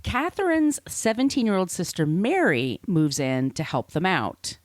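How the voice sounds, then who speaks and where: A woman's voice: lowish voice, very animated, unhurried, no room sound, studio quality. An American woman hosting a podcast, telling a story from notes conversationally, with informal, wry asides. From United States. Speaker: podcast host.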